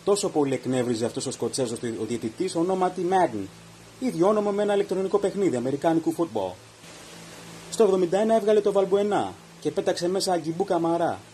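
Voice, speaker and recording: A man's voice: high voice, animated, warm tone, slight background noise. A man hosting a podcast, giving an opinionated minute-by-minute review of a football match. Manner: opinionated, reads out events minute by minute. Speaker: podcast host. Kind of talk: post-match commentary monologue.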